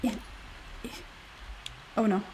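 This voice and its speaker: A woman's voice: takes it slowly, a little mellow, slight background noise, rough recording. A woman chatting casually while she plays a video game, with a self-deprecating manner. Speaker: gamer voicing all the characters. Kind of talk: casual gameplay chat.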